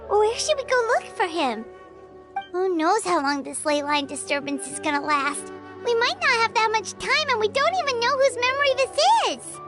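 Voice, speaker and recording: A tiny chirpy game companion, very high and bouncy, music under it. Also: female voice, animated. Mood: excited. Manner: bubbly, exclamatory, quick to jump to conclusions. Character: small floating female companion creature, chirpy and excitable. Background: game background music.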